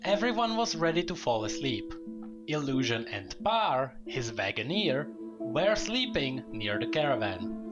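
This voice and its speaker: A man narrates a tale with a dramatic storyteller's manner. His pitch moves in lively swings, and music plays clearly behind his voice. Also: measured pace, highs cut off. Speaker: lore video narrator.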